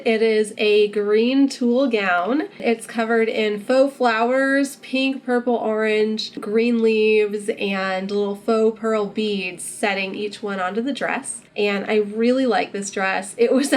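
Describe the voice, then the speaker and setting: A woman chatting casually in a vlog, informal and enthusiastic, with lots of "like" in her speech. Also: a little high. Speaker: vlogger and costume maker.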